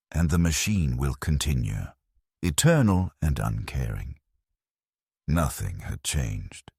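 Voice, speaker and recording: A man with an extremely low, husky voice narrates a scripted first-person story. His delivery is somber and measured. The sound is dry, with no room around it. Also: darkish tone, dry recording.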